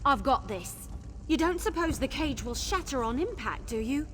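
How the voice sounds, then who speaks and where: An actress playing a cocky, chatty wood elf archer in a video game, breezy, playful and informal. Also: female voice, sits high, animated, moderate pace, slight background noise. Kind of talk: video game character acting.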